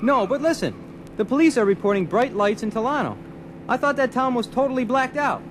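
An actor playing an eager, busy electrician in an audio drama. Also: male voice, very high-pitched, a little fast, slight background noise. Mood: urgent. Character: eager, busy male power company electrician.

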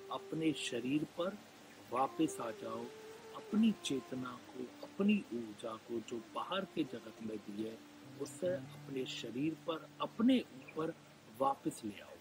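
A man with an Indian accent leads a live guided meditation in a gentle, soothing manner, leaving long pauses and repeating phrases. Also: mid-range voice, animated, rough recording. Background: background music.

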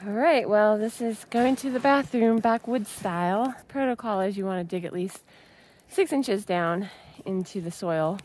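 A woman's voice: mid-range voice, normal speed, a little mellow. An American woman in her thirties chatting casually for an outdoor vlog, in a giggly, upbeat manner. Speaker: vlogger. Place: log hut and snowy woods. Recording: noisy camera audio with ambient sounds.

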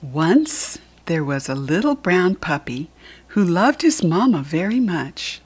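A woman with an American accent reading a children's picture book aloud in a deliberate, teacherly way. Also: lowish voice, very animated, breathy edge. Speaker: storybook reader.

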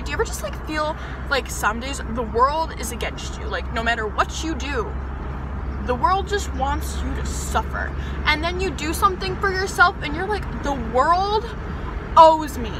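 A young woman rambling to a dashboard camera while sitting and driving in her car, chatty and exasperated, getting loud and then going soft. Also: sits high. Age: young adult. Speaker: vlogger. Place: inside a parked and moving car, daytime. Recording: car with road noise.

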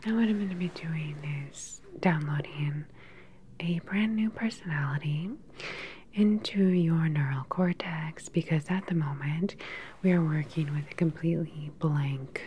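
A woman playing a calm, controlling evil scientist in a sci-fi ASMR roleplay, speaking in a soft, breathy, soothing clinical whisper. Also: dull audio. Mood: calm, soothing menace. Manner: slow, soothing, clinical personal attention. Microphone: close mic. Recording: faint background lab ambience noise. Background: low sci-fi lab ambience.

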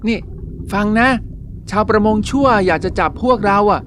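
A woman's voice: mid-range voice, animated, moderate pace, slight background noise, natural voice. Woman voicing a plucky, urgent cartoon fish. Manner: urgent, bossy chatter. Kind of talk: cartoon voice acting. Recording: music bed under voice.

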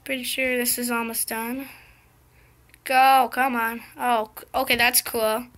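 A young girl commentating her gameplay in a casual, excited, chatty way, her voice slightly scratchy. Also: fairly high pitch, a little slow. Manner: excited, chatty, impatient exclamations. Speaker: gameplay video host.

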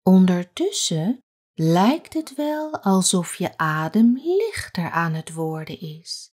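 Slow, soft-spoken woman guiding meditation. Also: on the low side, big pitch swings. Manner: soft, gentle, with long pauses. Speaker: yoga teacher. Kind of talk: guided sleep meditation.

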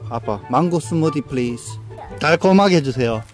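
Playful dad cheering at a playground. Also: male voice, fairly high pitch, lively intonation, moderate pace, music in the background. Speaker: father filming his kids. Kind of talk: casual family vlog chat. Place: outdoor playground. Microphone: handheld action camera.

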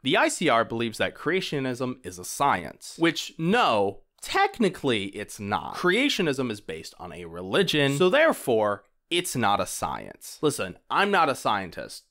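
A man's voice: mid-range voice, huge pitch swings, unhurried, hardly any pauses, pushed and bright. A man narrating a video essay in a casual, conversational way, with informal, wry asides.

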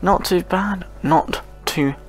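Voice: medium pitch, takes it slowly, husky, soft background music. A gaming host giving casual, rambling commentary while playing, often murmuring short repeated phrases.

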